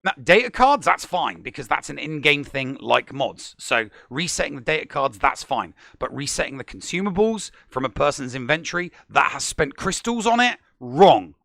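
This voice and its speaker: A man co-hosts a gaming podcast in a casual, animated way, tripping over his words when he gets excited. His voice is bright and gravelly and sits a little high. It is recorded close and dry. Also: normal speed, hardly any pauses, no echo. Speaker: podcast co-host.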